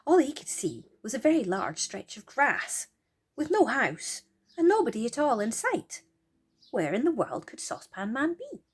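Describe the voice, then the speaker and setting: A woman storyteller narrating for children in a gentle, expressive way, often dropping to a hushed, breathy near-whisper. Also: high-pitched, normal speed.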